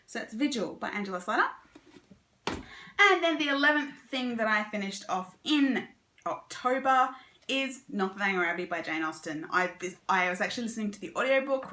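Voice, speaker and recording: A woman talks casually as a book vlogger, in a chatty, conversational way. Her voice is bright and forward, on a medium pitch. The recording sounds roomy, with noticeable echo. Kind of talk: casual book review chat to camera.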